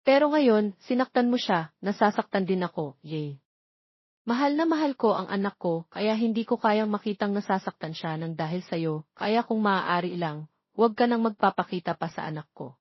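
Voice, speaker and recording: A woman's voice: mid-range voice, animated, normal speed, dry recording, highs cut off, lightly acted. A woman voicing a stern, protective middle-aged mother in an audio drama, speaking with emotional, pleading firmness. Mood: hurt, firm.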